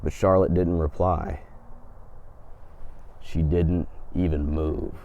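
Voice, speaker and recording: Low-voiced man telling a folk tale. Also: little pitch movement, slow, creaky, warm tone, dull audio. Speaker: host and storyteller.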